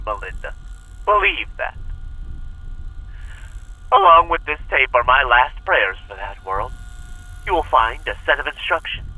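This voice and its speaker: A hunted cult leader with a piercing, intense voice that swings widely in pitch. He preaches and pleads with theatrical, manipulative menace. It plays as a distant tape message in a horror audio drama. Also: bright, forceful tone, exaggerated voice. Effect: played back as a taped message.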